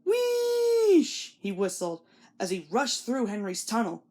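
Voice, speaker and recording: A woman's voice: medium pitch, normal speed. A woman giving a scripted read-along narration of a children's story.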